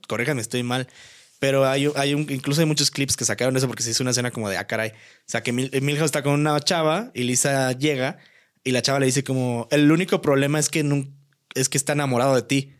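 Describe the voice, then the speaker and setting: A man's voice: medium pitch, brisk. A young adult man with a Mexican accent, co-hosting a podcast in casual, colloquial talk with plenty of slang. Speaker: podcast co-host. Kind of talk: casual chat.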